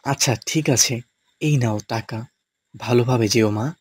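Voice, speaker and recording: A man playing a gentle, indulgent father of a little girl in a children's cartoon. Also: medium pitch, a little slow, slightly rough, no room sound.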